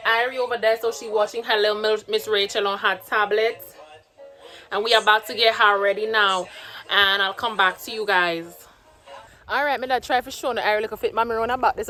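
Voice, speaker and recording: A young woman from Trinidad chats in a Jamaican patois accent she picked up, switching between patois and standard English. Her voice sits a little high for a woman. Faint music plays under her talk. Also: a bit bright. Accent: Jamaican patois, put on by a Trinidadian. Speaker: vlogger, single mother. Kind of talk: casual vlog chat to camera. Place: home, then in a car and out shopping.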